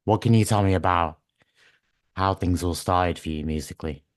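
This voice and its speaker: Croaky low-voiced male interviewer. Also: normal speed, no echo, studio quality. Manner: friendly, hesitant, many ums and restarts. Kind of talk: casual interview questions.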